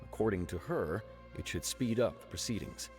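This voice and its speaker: Polite male official for a video game, actor's part; fairly low voice, formal delivery, light music underneath. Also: expressive, normal speed, lightly acted. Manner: formal, courteous.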